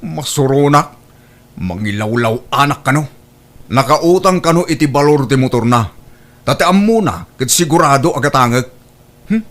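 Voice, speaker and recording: A man with an Ilocano accent playing a stubborn, exasperated son in a comedy radio-style drama, animated and complaining. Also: medium pitch, moderate pace, long pauses, lightly acted. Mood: annoyed, stubborn.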